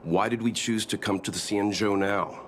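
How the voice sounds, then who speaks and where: A man playing a calm, prudent middle-aged mentor in a video game, speaking in a measured, reasoned and reassuring way. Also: fairly low pitch, background noise, lightly acted. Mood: cautioning. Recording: music behind the voice.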